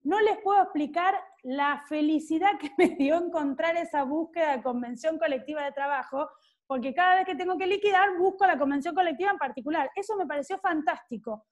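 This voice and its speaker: An Argentine woman in her forties teaching a law class over a video call, high-voiced and chatty. She breaks off to tease students by name between points. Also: fairly quick. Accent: Argentine Spanish. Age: 40s. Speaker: law lecturer. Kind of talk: online class lecture.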